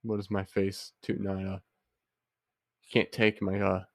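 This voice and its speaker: A man's voice: low voice, little pitch movement, measured pace, dry recording. A young man with a stuffy head cold and a nasal sound, chatting casually on a headset as a podcast co-host. He jokes and teases and rambles with lots of fillers. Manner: joking, teasing, rambling with many fillers. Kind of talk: casual chat.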